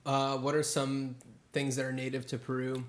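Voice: medium pitch, completely flat delivery, normal speed. An interviewer chatting casually in an easygoing, attentive way, with frequent short acknowledgements.

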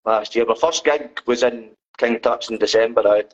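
A man's voice: mid-range voice, normal speed. A Scottish musician rambles easily as a podcast guest, tossing in "man" every few words. His mid-range voice comes through a dull, lo-fi line. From Scotland. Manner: rambling, laid-back, fills with "man". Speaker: band member guest. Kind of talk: casual interview chat. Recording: dull lo-fi sound.

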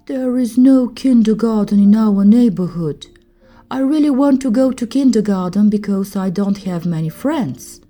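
A woman's voice: mid-range voice, moderate pace, dark tone, faint music behind, pitched above the performer's own voice, natural voice. A woman voicing a friendly, shy fluffy monster in a children's story, cheerful and eager.

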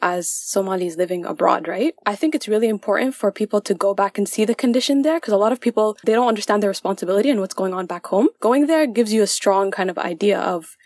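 A woman's voice: medium pitch, quick pace, few pauses, a little mellow, no room sound, studio quality. A young woman on a discussion panel, explaining her view in an articulate, conversational way. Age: young adult. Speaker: podcast panelist. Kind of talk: casual discussion.

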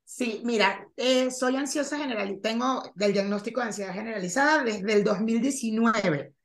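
Venezuelan woman, 40s, bright higher voice, lively guest recounting her past over a video call. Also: moderate pace. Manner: animated storyteller, acts out quoted lines. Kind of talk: casual interview chat.

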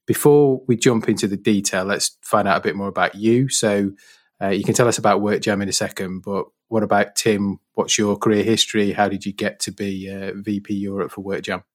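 A man's voice: on the low side, fairly even intonation, fairly quick. Chatty English podcast host, man. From England. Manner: friendly, chatty, with repeated words. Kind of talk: casual interview hosting.

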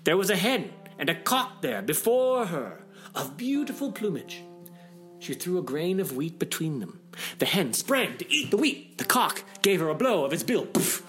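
A man reads a fairy tale for children in an animated way, with dramatic pauses and playful asides. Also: on the high side. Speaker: story reader. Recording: music behind the voice.

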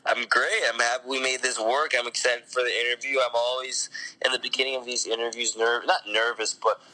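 A man's voice: mid-range voice, flat delivery, brisk, sharp tone, low-quality recording. A man in his early twenties from Sweden, chatting casually as a podcast guest. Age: early 20s. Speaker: podcast guest, company founder. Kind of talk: casual interview chat.

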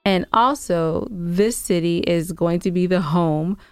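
A woman's voice: fairly low pitch, slow, clear, resonant tone, no room sound, studio quality, faint music behind. An American woman co-hosting a podcast, chatting casually and giving show updates with playful banter. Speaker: podcast co-host.

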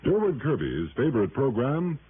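A man's voice: medium pitch, huge pitch swings, moderate pace, a little hiss or hum. An American man working as a radio announcer, reading scripted copy in 1960s broadcast style. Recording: narrowband old radio broadcast, music behind.

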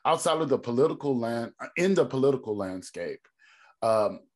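A man in his thirties from Texas with a deep voice, a podcast guest telling his story in a reflective way and thinking aloud with many ums. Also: expressive, normal speed, darkish tone.

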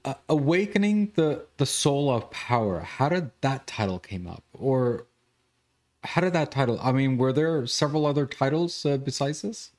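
A man's voice: medium pitch, lively intonation, normal speed, a little mellow. A male interviewer leads the conversation with loose, wandering questions. He pads his sentences with fillers and restarts, then lands on the point.

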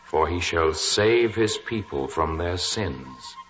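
Angel: solemn herald, extremely slow, forceful, vast echo, vintage radio drama. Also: male voice, low-pitched, lively intonation, faint music behind, heavily acted. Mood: solemn, majestic. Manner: slow, solemn, drawn-out proclamation. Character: solemn male angel proclaiming a divine message in a dream. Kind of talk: 1940s radio drama acting. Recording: dull vintage radio, long cavernous reverb.

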